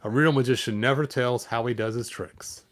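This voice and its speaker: A friendly, polite robot out of a children's storybook, read by a man. He explains himself earnestly and helpfully in a mid-pitched voice. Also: moderate pace, a bit bright, close to an everyday voice. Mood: playful.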